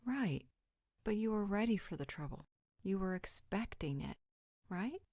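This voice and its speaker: A woman's voice: mid-range voice, expressive, unhurried, darkish tone, no echo, clean studio sound. A woman playing a perceptive, frank confidante in an audio roleplay, reasoning in a direct, insistent way. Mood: persuasive.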